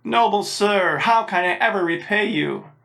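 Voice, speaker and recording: A woman's voice: mid-range voice, unhurried, creaky, a bit bright. A woman voicing a gallant male knight with pompous, archaic, chivalrous speech for a storybook. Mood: mournful, ashamed. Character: weary, gallant old-fashioned male knight in armour. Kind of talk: dramatic storybook reading.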